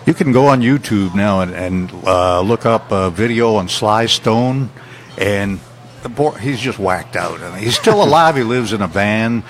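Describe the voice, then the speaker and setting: A man's voice: fairly low pitch, expressive, unhurried, slight background noise. An older man co-hosting a casual radio talk show, reminiscing in a rambling way.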